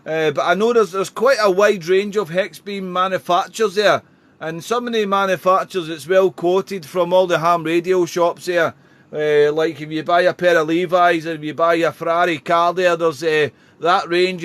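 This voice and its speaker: Higher-voiced man chatting on ham radio. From Wales. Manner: chatty, repetitive, ends phrases with "there". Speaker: amateur radio operator, livestream host. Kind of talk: casual ham radio contact chat.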